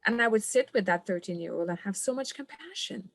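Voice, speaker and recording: A woman's voice: mid-range voice, animated, fairly quick, vocal fry, dry recording. Reflective woman guest from Trinidad. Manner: reflective, earnest, laughs often. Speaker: guest, coach. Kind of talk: podcast conversation.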